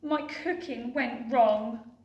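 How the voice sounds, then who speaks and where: A woman voicing an excitable little girl witch who cries out in a high, squealing voice. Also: takes it slowly, dull audio. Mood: upset. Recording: roomy, distant mic.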